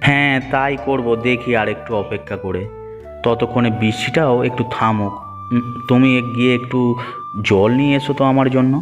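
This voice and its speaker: A man's voice: medium pitch, normal speed, big-room sound, over music, close to an everyday voice. A man playing a fretful but firm middle-aged village uncle in a fairy-tale cartoon. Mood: gruff reassurance. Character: worried village uncle, gruff but reassuring husband.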